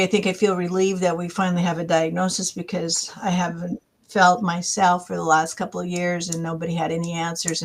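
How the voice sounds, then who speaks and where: A woman co-hosting a podcast, her voice sitting a little low. She speaks in a calm, measured way, offering supportive reflections in relaxed conversation. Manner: calm and measured, talks in affirmations. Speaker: podcast co-host and coach. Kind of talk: casual chat.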